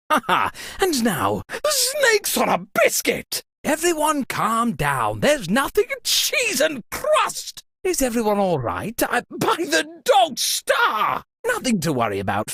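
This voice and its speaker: A woman's voice: high voice, a bit bright, husky, dry recording, full character voice. A woman voicing a fussy, easily scandalized upper-class party host in a video game, with a pompous, exclamatory delivery. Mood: flustered, startled. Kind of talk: theatrical scripted game voice lines.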